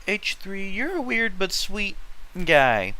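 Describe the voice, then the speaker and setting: A man's voice: sits high, very animated, slow pace, bright, forceful tone. A man reading fan fiction aloud and adding casual, blunt, opinionated commentary with heavy swearing.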